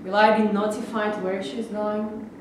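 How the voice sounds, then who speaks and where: A woman's voice: mid-range voice, level tone, measured pace, close to an everyday voice. A woman acting a stubborn, protective adult granddaughter in a staged reading, insistent and emotional. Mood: earnest, resigned. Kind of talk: amateur staged reading of a play. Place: live staged reading in a reverberant room. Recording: very echoey room, distant mic, faint noise.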